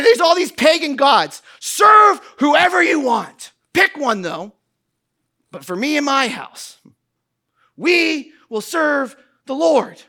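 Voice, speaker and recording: High, bright-voiced preacher man. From New England. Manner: rambling, self-deprecating storytelling with many fillers, building to emphatic preaching. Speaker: pastor. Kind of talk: sermon.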